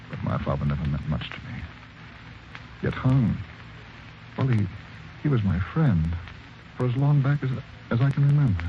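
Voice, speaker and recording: An actor playing a young man whose father has been murdered, in 1940s radio drama style. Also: sits low. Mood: grieving. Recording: muffled old radio recording with hiss.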